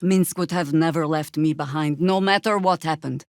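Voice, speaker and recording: A middle-aged woman playing a seasoned, wry druid warrior in a fantasy video game, speaking in a measured, dry, formal way. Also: deep voice, no room sound. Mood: reflective, regretful. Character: seasoned, wry female druid warrior, weary but resolute.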